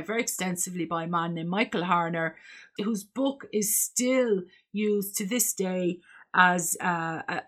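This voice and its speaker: A woman's voice: mid-range voice, a bit bright. A woman from Ireland hosting a solo podcast, talking in a reflective, earnest way and thinking aloud with small hesitations. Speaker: podcast host.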